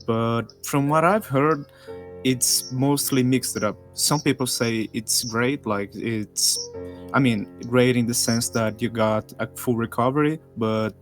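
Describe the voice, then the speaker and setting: A nineteen-year-old man from Brazil, a Portuguese speaker talking English in a halting way with many restarts. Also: medium pitch. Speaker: guest. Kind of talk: casual online group chat.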